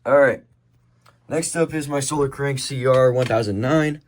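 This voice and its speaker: A young man with an American accent giving a casual show-and-tell, halting and rambling as he corrects himself. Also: medium pitch, a little hiss or hum. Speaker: hobbyist showing a radio collection. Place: indoors at home by a desk.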